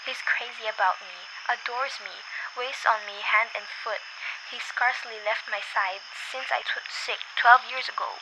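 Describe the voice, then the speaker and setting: A woman's voice: on the high side, fairly even intonation, measured pace, background noise. A young woman playing an anxious, demanding bedridden wife in an amateur radio drama, fretful and insistent. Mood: dismissive, chatty. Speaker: student voice actor in a radio play.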